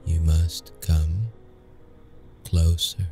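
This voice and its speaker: Guy playing a hurt vampire in an asmr roleplay, really low and slow, halting, guarded and seductive. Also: little pitch movement, soft background music, heavily acted. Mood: coaxing, intimate. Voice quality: gravelly, low, mellow. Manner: slow, halting, intimate delivery. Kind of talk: ASMR audio roleplay acting.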